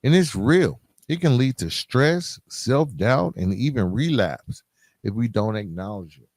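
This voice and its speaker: An American man delivers a conversational podcast monologue straight to his listeners. His pitch moves freely around a middle register, and he leans on streetwise phrasing, repetition and rhetorical questions. The sound is dry, with very little room in it. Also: expressive, a little slow, darkish tone, dry recording. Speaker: podcast host.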